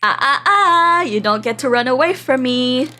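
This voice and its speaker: A woman's voice: high-pitched, animated, moderate pace, bright, forceful tone, faint music behind. A woman voicing a regal, menacing male puppeteer maestro with a theatrical, taunting manner for a visual novel game. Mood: gleeful taunting.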